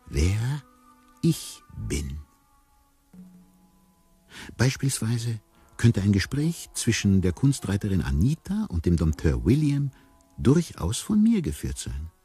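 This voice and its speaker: An actor playing a circus tiger who narrates a radio play, pensive and measured, addressing the listener. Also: male voice, on the low side, sing-song, dark tone, slightly rough, soft background music, heavily acted. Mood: musing, enigmatic. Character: circus tiger narrator musing on his own identity.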